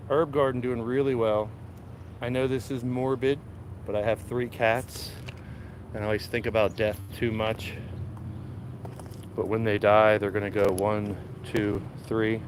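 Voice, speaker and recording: A man's voice: lowish voice, flat delivery, unhurried, pauses often, slight background noise. A man hosting a casual live stream outdoors in his backyard garden, stuffed up with a cold, rambling to his chat as he walks with his phone. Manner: rambling, laid-back, talks to chat while walking. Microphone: phone camera held while walking.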